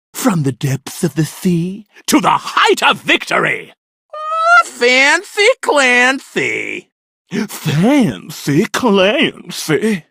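Zany lobster warrior for a video game, raspy n bright, drawn-out hammy battle cries. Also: male voice, fairly high pitch, no echo, clean studio sound, exaggerated voice. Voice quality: raspy, gravelly. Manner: theatrical, bellowed battle cries. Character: zany male lobster warrior, bombastic self-styled crustacean crusader. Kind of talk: video game character voice lines.

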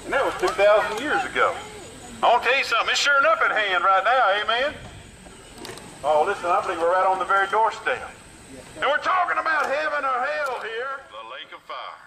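A man's voice: high-pitched, big pitch swings, a little fast, a crowd in the background, low-quality recording. A man preaching in the street with fiery call-and-response energy, outdoors in a neighborhood.

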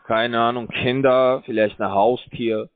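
A man calling from a scam call center, speaking broken full of fillers as he pushes his pitch. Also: fairly even intonation, measured pace, no room sound. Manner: broken German, filler-heavy, pushy salesman. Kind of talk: phone call. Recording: tinny phone line.

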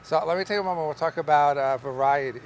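Middle-aged guy farmer, slightly high voice, talks loose and rambly, faint fan hum, greenhouse. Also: fast talker. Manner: relaxed, rambling, with many fillers and restarts. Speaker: market farmer teaching growing. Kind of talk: casual on-camera farming lesson. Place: large greenhouse among tall tomato plants. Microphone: camera at a distance, wide shot.

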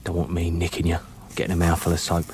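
A man's voice: sits low, completely flat delivery, fast, natural voice. Man playing a wry, menacing British scavenger, noisy ambience. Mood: quietly menacing. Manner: clipped phrases, mock-friendly, sardonic, quietly threatening. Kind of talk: British radio drama acting.

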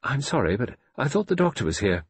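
A man voicing a polite, efficient female nurse in an audiobook, speaking in a brisk manner. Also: medium pitch, big pitch swings, slightly rough, pitched above the performer's own voice.

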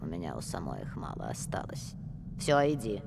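Cartoon evil old witch, gravelly, wildly swooping pitch, menacing, imperious, music behind. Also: wildly swooping pitch, very noisy, heavily acted. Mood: imperious, irritated. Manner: slow, insinuating, commanding.

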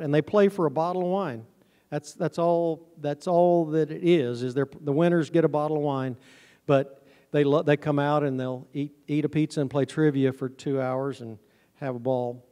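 A man's voice: on the high side, normal speed, mellow. A middle-aged man giving an informal, folksy, self-deprecating presentation to an audience. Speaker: conference speaker, honey farm business owner. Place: large auditorium stage, indoors. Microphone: handheld microphone through PA, recorded from audience camera. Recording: hall reverb from stage sound system.

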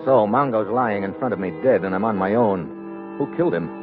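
Man acting a low-voiced hard-boiled secret agent. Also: a little fast, close to an everyday voice. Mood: tense. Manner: clipped, wry, world-weary delivery. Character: hard-boiled male secret agent hero, wry and tough. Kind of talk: 1950s radio drama acting and narration. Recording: muffled old radio recording, music behind.

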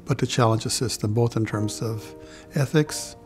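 A man's voice: medium pitch, normal speed, a little mellow, faint music behind. A man in a documentary interview, reflecting on how a school began, with some hesitations.

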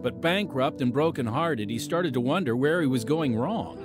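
A man hosting and narrating a scripted radio program with deliberate, dramatic phrasing. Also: mid-range voice. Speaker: podcast host and announcer. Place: studio voice-over. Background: music.

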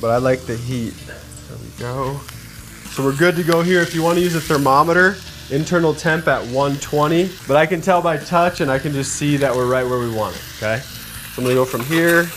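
A man in his thirties hosting a cooking demonstration in a studio kitchen, chatty and energetic, talking straight to the viewers. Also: a little high, fairly quick, noisy background. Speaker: cooking show host. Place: home-style studio kitchen, indoors. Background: background music, sizzling pan.

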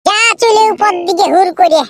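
An actor voicing a bossy, scolding young village labourer in a comedy cartoon, loud and crude, with a pitched-up effect. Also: normal speed. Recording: noisy recording.

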